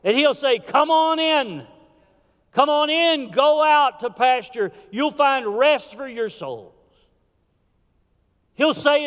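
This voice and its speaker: A high-voiced man delivers a sermon from a church pulpit, his voice ringing out with a long hall reverb. He preaches with fervor, then drops suddenly to a near-hush. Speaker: pastor. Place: church sanctuary.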